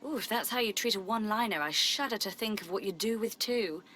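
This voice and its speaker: A woman's voice: medium pitch, fairly quick, sharp tone, slight background noise, close to an everyday voice. An actress playing a sardonic, flirtatious, haughty woman in a video game, arch and teasing. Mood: mocking disdain.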